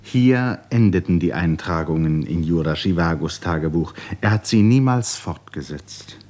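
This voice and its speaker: A man narrating a radio play in a scripted literary style, with measured storytelling. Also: deep voice, animated, a little mellow.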